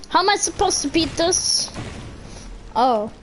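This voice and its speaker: A woman's voice: very high-pitched, lively intonation, measured pace, noisy background. A woman giving casual gameplay commentary in short, slangy, offhand reactions, as a game streamer.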